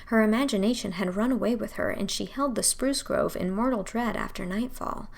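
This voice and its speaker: Expressive woman narrating a storybook. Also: medium pitch, brisk, creaky. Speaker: audiobook narrator and host.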